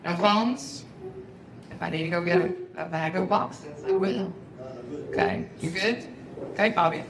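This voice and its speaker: A woman teacher, brisk and commanding, quieting a room of students. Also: lowish voice. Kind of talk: classroom management. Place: high school room. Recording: clear noise in a school room.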